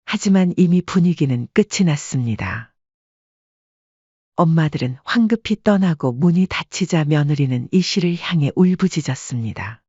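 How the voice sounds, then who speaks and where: A woman's voice: low-pitched, lively intonation, moderate pace, mellow, breathy edge, dry recording, highs cut off. A woman working as narrator, reading a scripted dramatic story.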